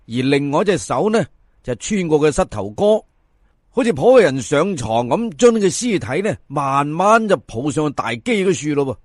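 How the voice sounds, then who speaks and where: A man's voice: on the high side, dry recording, studio quality. A man with a Hong Kong Cantonese accent telling a folk tale in a colloquial, dramatic way, as a storyteller does. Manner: colloquial, dramatic, drawn-out sentence particles. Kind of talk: animated folk tale narration.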